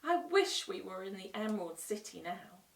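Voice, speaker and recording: A woman's voice: medium pitch, very animated, moderate pace, vocal fry, distant mic. A woman voicing a plucky young girl in a storybook, exclaiming and emphatic. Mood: wistful. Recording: roomy echo, away from the mic.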